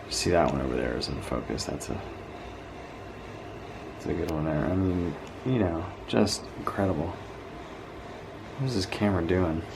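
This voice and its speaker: A man's voice: deep voice, normal speed, gravelly, long pauses, background noise. A man giving an informal, rambling hands-on show-and-tell as a hobbyist, with an enthusiastic, marveling manner. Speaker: vintage radio hobbyist presenting a video.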